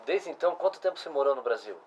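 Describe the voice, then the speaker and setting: Middle-aged man, chatty interview host, voice sits a little high. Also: animated, rapid-fire, slightly rough. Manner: conversational, restarts and asks follow-up questions. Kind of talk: casual interview chat.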